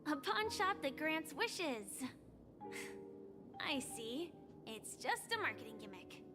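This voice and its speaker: Game lead: a deadpan young woman, very high and unhurried, music under her lines. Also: full character voice. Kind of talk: video game voice acting.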